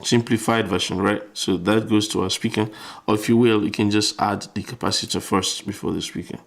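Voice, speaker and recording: A man's voice: on the low side, steady tone, brisk, gravelly. A man explaining electronics informally, step by step, as a tutor who repeats phrases while he demonstrates.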